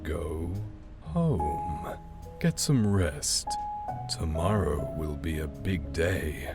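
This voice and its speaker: A man acts out a sinister casino boss with a very low voice that swings widely in pitch. He is smug and coaxing, theatrical in the way of a horror audio drama, with music playing underneath. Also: expressive, drawn-out words, exaggerated voice. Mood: pleased, commanding.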